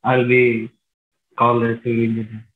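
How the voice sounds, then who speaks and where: A man's voice: medium pitch, completely flat delivery, moderate pace. A young man from India with a Hyderabadi accent, a student answering politely on a video call. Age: young adult. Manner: polite, many short replies of "yes, sir". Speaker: student guest. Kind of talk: casual video call chat. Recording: dull lo-fi call audio.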